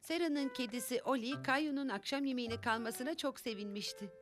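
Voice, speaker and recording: A woman playing the gentle storyteller narrating a preschool cartoon from a script. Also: high-pitched, a little fast, over music, close to an everyday voice. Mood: gentle storytelling.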